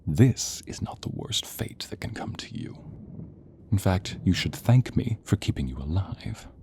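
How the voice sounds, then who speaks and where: A man playing a sadistic, gloating monster hunter in an audio role play, with slow menace, breathy whispers and sharp commands. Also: low-pitched, expressive, a little hiss or hum. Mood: commanding, contemptuous. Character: sadistic male monster hunter, mad, theatrical, gloating villain.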